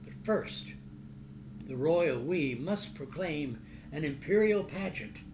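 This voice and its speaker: Man, slightly high voice, unhurried declamatory literary reading, roomy echo. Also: expressive. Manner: declamatory, dramatic, deliberate delivery. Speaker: author reading from own novel. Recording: roomy echo, loud background noise.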